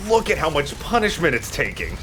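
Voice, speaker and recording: A man's voice: fairly high pitch, huge pitch swings, brisk, a bit bright, slight background noise. A man giving casual, joking gaming commentary and banter as he plays, with some swearing. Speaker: let's play host playing the game. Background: game music.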